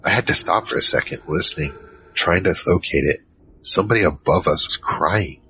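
An actor playing a giant troll, worried and soft-hearted, narrating in the first person in an audio drama. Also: male voice, low voice, a little fast, slight background noise, rough recording. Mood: recounting, uneasy. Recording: music behind.

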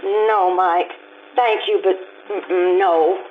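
A woman's voice: fairly low pitch, fairly even intonation, takes it slowly, a little hiss or hum. Woman acting a spirited society bride, muffled recording. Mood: gentle, wistful. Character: spirited young society bride, proud, warm, impulsive. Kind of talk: old-time radio play acting.

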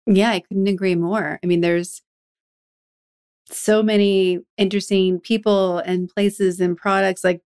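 Smooth-voiced woman podcast host, chatty. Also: mid-range voice, little pitch movement, measured pace, darkish tone. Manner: curious, chatty, asks follow-up questions. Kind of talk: casual interview chat.

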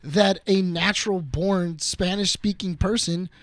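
A man's voice: high-pitched, a little slow, no echo. A man co-hosting a casual podcast chat, arguing his point earnestly with many restarts. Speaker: podcast co-host.

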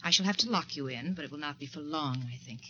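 Crisp, icily polite female blackmailer. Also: deep voice, fast, sharp tone, a little hiss or hum. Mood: calmly menacing. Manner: prim, controlled, icily courteous. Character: coolly menacing, ruthlessly polite secretary turned blackmailer. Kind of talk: 1940s radio drama acting. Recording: muffled 1940s radio recording.

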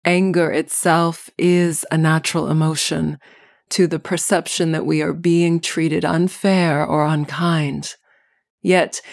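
A woman podcast host narrating a scripted guided meditation in measured, deliberate phrases. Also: on the low side, no room sound, studio quality.

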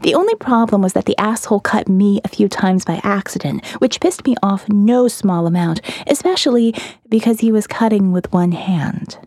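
A woman's voice: mid-range voice, lively intonation, normal speed, mellow, dry recording, clean studio sound, close to an everyday voice. A woman voicing a candid, amused and wistful young woman in an audio novel, with a shrugging manner that tends to trail off. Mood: indifferent, candid.

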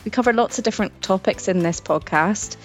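A woman presenting a podcast, reading a scripted intro in a clear, measured way. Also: mid-range voice, soft background music. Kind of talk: scripted narration.

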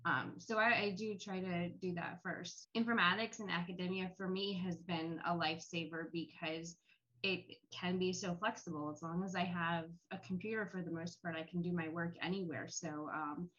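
A woman's voice: lowish voice, fairly even intonation, moderate pace, a bit bright, highs cut off. A middle-aged woman speaking casually on a panel call, sharing personal experience. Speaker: panel guest, academic.

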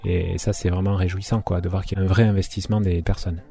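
A man from Béarn, a market gardener who supervises farm workers, speaking informally in an interview and often pausing to think. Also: low-pitched, little pitch movement, gravelly, over loud music. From Béarn, France. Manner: informal, thinks aloud with frequent hesitations. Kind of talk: relaxed interview talk.

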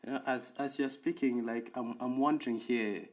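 A man's voice: medium pitch, steady tone, moderate pace. A young man from Nigeria hosting a casual conversation, pondering questions unhurriedly with many "you know"s. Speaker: host.

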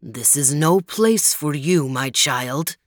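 An ancient male spirit of winter speaks gravely in a lowered, slow, solemn voice. He sounds old and weighty, as a woman reader puts him on. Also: animated, no echo, studio quality.

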